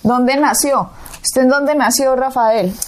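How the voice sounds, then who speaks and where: High-voiced Colombian woman preaching. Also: takes it slowly, slight background noise. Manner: emphatic, preacherly, asks rhetorical questions. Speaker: co-host. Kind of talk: Bible teaching talk show.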